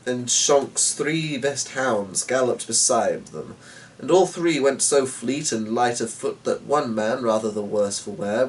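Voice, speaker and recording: A man reads a story aloud in a theatrical, measured manner. His pace is slow and his mid-pitched voice sits a little away from the microphone. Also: distant mic, slight background noise, low-quality recording. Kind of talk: dramatic reading of a short story.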